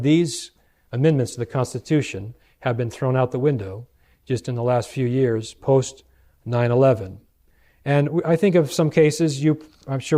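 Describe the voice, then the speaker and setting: American male preacher with a mellow voice. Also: mid-range voice. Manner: rhetorical questions to the listeners, deliberate emphasis. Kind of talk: sermon lecture with read-out quotations.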